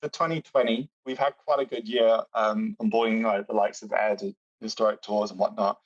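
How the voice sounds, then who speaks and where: A British man walks through software features on a video call, fluent and matter-of-fact. He sits some way back from his mic, and the call audio is dull and lo-fi. The room sounds dry. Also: lowish voice, steady tone, moderate pace, dry recording, far from the mic. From Britain. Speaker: booking software executive. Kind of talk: explaining a product on a video call.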